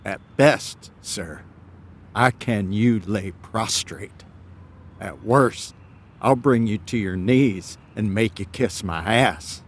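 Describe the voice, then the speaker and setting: A proud foot soldier in a modern Shakespeare audio play, with a lowish voice and unhurried delivery. He is mock-courteous and defiant, over some ambient noise. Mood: cocky, defiant. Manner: measured, wordy, mock-courteous.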